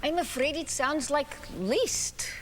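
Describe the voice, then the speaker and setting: A lively, wistful concert pianist, played by a woman looks back on her life in a fairly high voice. She speaks very slowly and unhurriedly, in a chatty, self-mocking way. Her voice rings with long reverb through a concert hall over a faint ambient hush. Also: loud music behind the voice. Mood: reminiscing. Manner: reminiscing, chatty, light laughs. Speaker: stage performer. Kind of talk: one-woman theatrical monologue between piano pieces.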